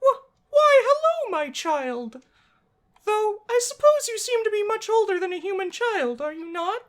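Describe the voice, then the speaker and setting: High, doting goat monster mother. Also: very animated, a little slow, full character voice. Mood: warm, polite. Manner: doting, formal, fussy. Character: gentle, motherly goat monster lady, silly old worrier.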